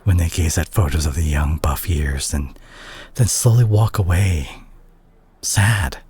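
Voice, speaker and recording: A man acting a concerned superhero who confronts a reckless friend in a dramatic audio roleplay, speaking earnestly and haltingly with a husky, breathy voice. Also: extremely low pitch, a little mellow, dry recording, heavily acted. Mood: somber, pained.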